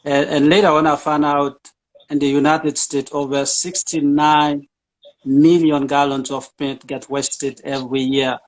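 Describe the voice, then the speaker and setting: A man's voice: a little high, level tone, unhurried, poor audio. A man from Haiti, a charity founder being interviewed, talking casually with frequent fillers and restarts. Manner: hesitant, many fillers and restarts.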